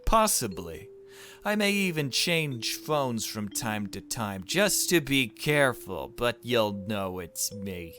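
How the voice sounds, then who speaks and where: A man's voice: medium pitch, very animated, takes it slowly, bright, forceful tone, faint music behind, heavily acted. A man voicing a guarded, secretive kidnapper in a visual novel, evasive and terse.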